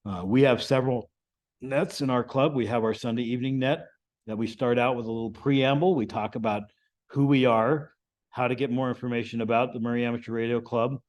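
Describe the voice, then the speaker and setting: A man giving an informal, explanatory lesson to a group as the instructor, often checking in with a quick "right?". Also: mid-range voice, fairly even intonation, darkish tone. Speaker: amateur radio class instructor.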